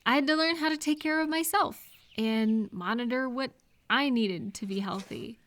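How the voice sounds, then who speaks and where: A woman's voice: a little high, normal speed, a bit bright. A woman speaking conversationally to one listener in a supportive advice roleplay, reassuring and explanatory. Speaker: audio roleplay performer giving supportive advice.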